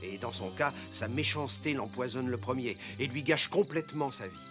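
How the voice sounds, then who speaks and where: A man voicing a kindly, courteous, reassuring gentleman in a cartoon, speaking in a measured way. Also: medium pitch, over music, natural voice. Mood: consoling. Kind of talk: cartoon voice acting.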